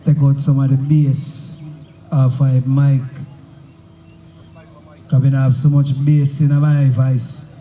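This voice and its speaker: A man, running a mic check at a sound system dance, in slow drawling patois banter. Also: mid-range voice, little pitch movement, dark tone, a little hiss or hum. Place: outdoor sound system event. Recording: echoey outdoor sound system with music.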